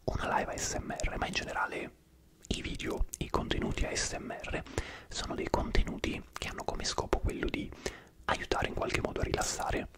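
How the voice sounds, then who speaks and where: A man whispering throughout as he hosts an ASMR live stream, chatting and rambling to viewers by name. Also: normal speed.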